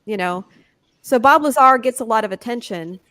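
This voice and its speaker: A woman with a naturally high voice chats on an online panel. She restarts her sentences often and laughs easily, speaking in a relaxed, unscripted way. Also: normal speed. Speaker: panel guest. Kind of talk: casual panel chat.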